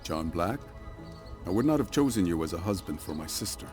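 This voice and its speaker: An actor playing a solemn, stoic warrior and protective brother in a dramatic game cutscene. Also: male voice, low-pitched, lively intonation, brisk, a little mellow. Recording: clear music behind the voice.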